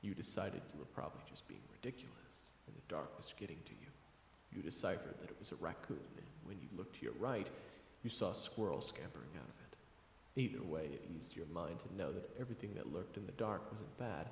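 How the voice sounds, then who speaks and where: A man's voice: low-pitched, expressive, a little fast, gravelly, slight background noise. A man reading scripted second-person story narration for an audio roleplay. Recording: roomy hall reverb, music underneath. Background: background music.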